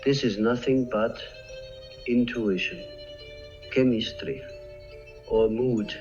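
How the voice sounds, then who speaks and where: A man giving slow, solemn scripted narration with measured pauses. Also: medium pitch, fairly even intonation, a little mellow. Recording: dull lo-fi with faint music. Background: faint background music.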